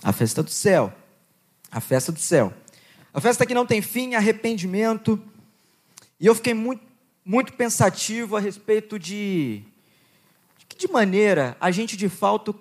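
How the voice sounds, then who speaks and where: Young preacher guy w/ goiás accent, voice a bit high, jokes w/ the crowd, reads the bible. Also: sing-song, normal speed. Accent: Goiás Brazilian Portuguese. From Goiás, Brazil. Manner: chatty and joking with the audience, quieter and lower when praying. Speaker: preacher at a youth conference. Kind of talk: sermon with Bible reading and prayer.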